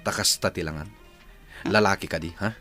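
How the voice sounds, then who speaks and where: An actor playing a jealous, domineering husband who bosses and scolds in a radio comedy drama. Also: male voice, low-pitched, brisk, loud music behind the voice, natural voice. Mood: stern, angry. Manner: bossy, scolding, demanding. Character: domineering, jealous husband and businessman, harsh household master.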